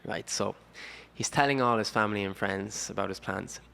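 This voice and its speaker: A man from Ireland, a podcast guest retelling a story softly. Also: on the low side, normal speed, vocal fry. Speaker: guest traveler. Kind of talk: casual anecdotes.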